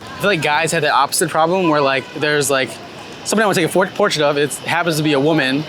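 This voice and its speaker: A man's voice: fairly high pitch, fast talker. A man talking informally as he does a casual interview outdoors on a city street. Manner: informal, conversational. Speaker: interviewer, video host. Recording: noisy city street with traffic.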